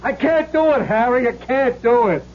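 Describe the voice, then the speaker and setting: An actor playing a nervous, weak-willed small-time crook in an old-time radio drama, husky, hesitant and stammering apologetically. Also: male voice, very high-pitched, moderate pace. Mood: anguished, hesitant. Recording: dull lo-fi old radio recording.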